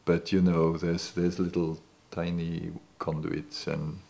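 Man in his sixties, deep voice, non-native English speaker, hesitant, restarts often, quiet living-room interview. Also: flat delivery, takes it slowly, vocal fry, slight background noise. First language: not English. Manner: hesitant, many restarts and "you know". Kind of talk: reflective recollection. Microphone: off-camera, seated interview.

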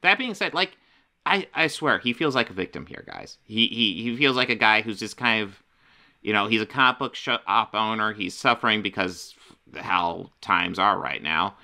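A man's voice: lowish voice, moderate pace, gravelly, pushed and bright. A man co-hosting a casual livestream, talking in a wry, exasperated way from a home room. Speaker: podcast co-host.

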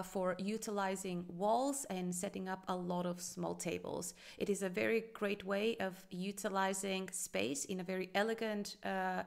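Hesitant woman consultant, gravelly voice. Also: medium pitch, a bit bright. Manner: hesitant, many fillers, thoughtful. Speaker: restaurant consultant reviewing a design. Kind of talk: casual reaction commentary.

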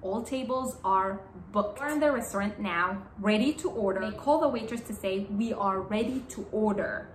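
Young woman teaching English slowly, roomy sound. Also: medium pitch. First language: Arabic. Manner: slow, clear, repeats key phrases. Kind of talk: English lesson to camera. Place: small bright indoor room. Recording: roomy indoor sound with faint room hum.

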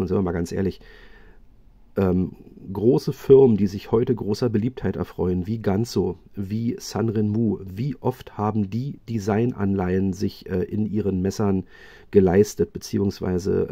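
A man reviewing knives in a chatty, casual way, often pausing with fillers and restarting his sentences. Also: sits low, vocal fry, warm tone, slightly rough, dry recording. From Germany. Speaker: knife reviewer. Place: indoors at a table, hands-only video.

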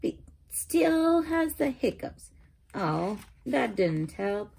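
A woman's voice: fairly high pitch, big pitch swings, extremely slow, vocal fry, darkish tone, husky. A woman reading a children's picture book aloud expressively, putting questions to the listener. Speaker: read-aloud channel host.

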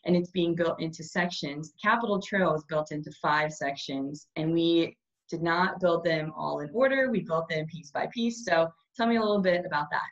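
A woman's voice: sits low, moderate pace. A woman, hosting a video-call interview in a cheerful, encouraging way from a small plain room. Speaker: host. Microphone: webcam on a video call. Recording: dull lo-fi webcam sound.

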